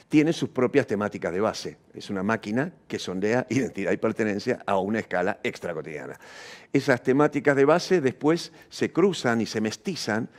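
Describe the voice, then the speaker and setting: An Argentine man with a fairly low voice gives a thoughtful interview. He speaks like an intellectual, building abstract ideas, searching for the exact phrase and adding short questioning tags. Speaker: interviewee, theatre practitioner. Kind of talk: reflective intellectual interview talk.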